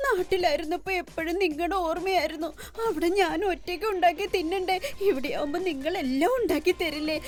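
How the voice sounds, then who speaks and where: A woman's voice: very high voice, quick pace, hardly any pauses. A woman voicing a melodramatic, demanding aunt and wife in a children's cartoon. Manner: melodramatic, scolding. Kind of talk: children's cartoon comedy dubbing. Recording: music playing under the voice.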